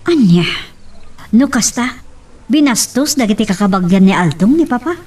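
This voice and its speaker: Headstrong daughter played by a woman, high, distant voice. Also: expressive, moderate pace, darkish tone. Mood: indignant, defiant. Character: headstrong, indignant daughter of a powerful family. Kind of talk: radio drama acting. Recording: distant from the microphone.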